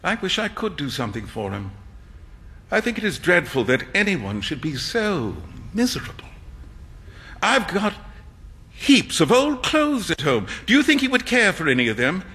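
A man voicing a naive, earnest young English gentleman in a storybook, easily flustered, plaintive and indignant. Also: sits high, wildly swooping pitch, normal speed, pitched above the performer's own voice, exaggerated voice. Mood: earnest concern. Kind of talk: acted storybook dialogue.

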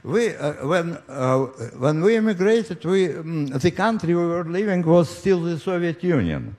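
A man in his late nineties with a Russian accent, born in Ukraine, telling a personal story on stage in a halting way, with frequent pauses and restarts. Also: fairly high pitch, sing-song, a little mellow. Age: 90s. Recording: live audience in the room.

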